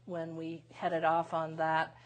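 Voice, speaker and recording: Low-voiced woman telling her story. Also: completely flat delivery. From United States (Massachusetts). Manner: unhurried, reflective storytelling with frequent uh pauses. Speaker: guest, lawyer and author. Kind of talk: conversational interview. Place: TV studio.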